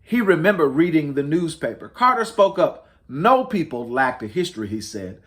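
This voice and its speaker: A man reading a storybook aloud to children as host, in an expressive, deliberate and emphatic storytelling manner. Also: fairly high pitch. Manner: deliberate, emphatic storytelling with dramatic rises and falls.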